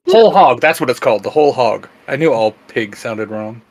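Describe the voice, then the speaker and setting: Male co-host, medium pitch, casual and teasing, quick to joke. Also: sing-song. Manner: jokey, teasing banter. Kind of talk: casual chat.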